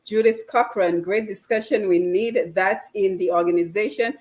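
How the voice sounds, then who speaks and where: A woman's voice: mid-range voice, moderate pace, a little mellow. Woman, radio show host, mid-range voice, reads viewer comments, hands over to guests. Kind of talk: radio talk show hosting.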